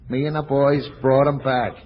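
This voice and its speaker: A man's voice: medium pitch, little pitch movement, normal speed, a little hiss or hum, lightly acted. A man playing an eager, dim-witted American gangster sidekick, talking in ungrammatical tough-guy slang for a radio comedy. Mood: matter-of-fact. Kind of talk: 1940s radio comedy acting. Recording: dull, muffled old radio recording.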